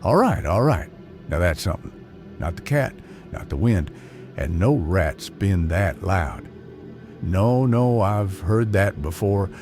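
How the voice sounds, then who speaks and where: A man's voice: deep voice, animated, slow. A man acting a jittery old man who lives alone, rambling and folksy as he talks to himself in a comedic audio drama. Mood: uneasy. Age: older. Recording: music behind the voice. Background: music.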